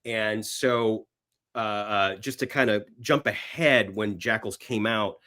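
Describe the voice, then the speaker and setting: A man's voice: lowish voice, slow, bright, forceful tone. A man being interviewed, telling stories casually in a rambling way and acting out imagined bits of dialogue. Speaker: podcast guest, screenwriter.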